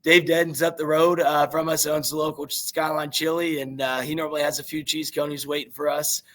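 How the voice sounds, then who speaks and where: A man with a naturally raspy voice and a Midwestern American accent, a young high school football coach giving rambling interview answers full of fillers. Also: little pitch movement, brisk, a bit bright. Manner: rambling, many fillers and restarts.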